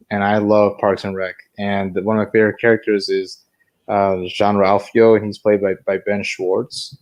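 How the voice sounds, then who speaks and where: A man with a slightly deep voice chats as a podcast co-host. His delivery is informal and enthusiastic, full of casual slang. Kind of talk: casual chat.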